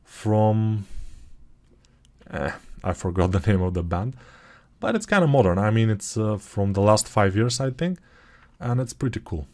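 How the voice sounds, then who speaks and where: A man's voice: on the low side, lively intonation, measured pace, long pauses, a little mellow. A man with a Bulgarian accent, speaking English as a second language, walking through a 3D modeling tutorial in a chatty, digressive way that often corrects itself. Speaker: 3D modeling course instructor. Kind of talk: software tutorial walkthrough.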